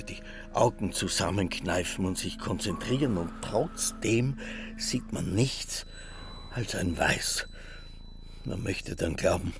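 An actor playing a brooding, obsessive rural inventor in a radio drama monologue, with a halting, poetic, often hushed delivery. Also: male voice, lowish voice, gravelly, full character voice. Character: brooding rural inventor, obsessive dreamer, narrating his landscape. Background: music.

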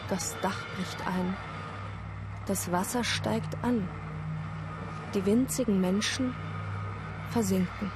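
A woman narrating a German radio play as a solemn storyteller, breathy and husky, at times whispering. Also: medium pitch, slow pace, long pauses, very noisy, natural voice. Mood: ominous, hushed. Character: solemn storyteller of a dreamlike flood disaster.

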